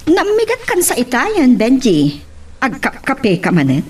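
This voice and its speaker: A woman's voice: high-pitched, huge pitch swings, a little slow, a little mellow, slight background noise, exaggerated voice. A woman playing a haughty, suspicious, scheming madam in an Ilocano radio drama. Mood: suspicious, sly.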